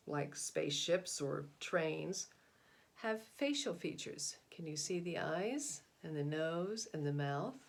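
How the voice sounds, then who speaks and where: A middle-aged woman with a slightly low voice presents picture books to young listeners. She talks informally, in an explanatory way that addresses the children directly. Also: vocal fry. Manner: explanatory, asks listeners questions. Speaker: read-aloud presenter.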